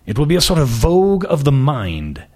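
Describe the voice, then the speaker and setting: A man reading the part of a haughty, commanding magazine editor with an aristocratic accent, speaking slowly and clipped. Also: medium pitch, huge pitch swings, a little mellow. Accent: upper-class East Coast with British touch.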